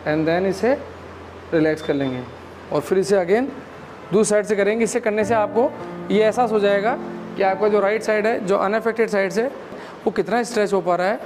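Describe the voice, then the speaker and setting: A man in his thirties, a physiotherapist, calmly explaining exercises step by step in a clinic room, on a lapel mic. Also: high voice, background noise. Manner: calm, instructive, explains step by step.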